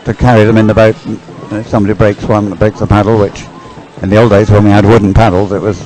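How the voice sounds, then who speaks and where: A man's voice: on the low side, level tone, quick pace, a little mellow, rough recording. An older man giving unscripted live race commentary in a hesitant, musing, explanatory way.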